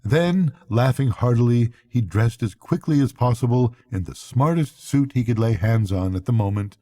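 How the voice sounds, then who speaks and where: A man's voice: mid-range voice, normal speed, mellow, no room sound, clean studio sound. An older man reading a children's bedtime story aloud as narrator.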